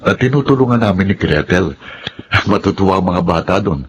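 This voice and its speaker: An actor in a Filipino radio drama playing a worried, devoted husband and respectful son. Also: male voice, low voice, expressive, fairly quick, noisy background. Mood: polite, earnest.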